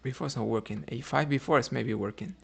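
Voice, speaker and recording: Gravelly Cuban man thinking aloud. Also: medium pitch, fast talker. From Cuba. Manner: thinks aloud, often tags "right?"; chats with viewers between moves. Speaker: chess streamer. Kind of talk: live chess commentary while playing.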